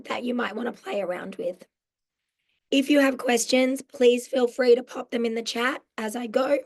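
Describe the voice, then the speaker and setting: A hoarse woman in her forties with an Australian accent, her voice croaky from losing it, explaining mindfulness in an online workshop in a friendly way. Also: on the high side. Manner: friendly, explanatory, with frequent um fillers. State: hoarse, lost voice. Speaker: mental health social worker teaching a mindfulness workshop. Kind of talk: live online workshop presentation. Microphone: video call.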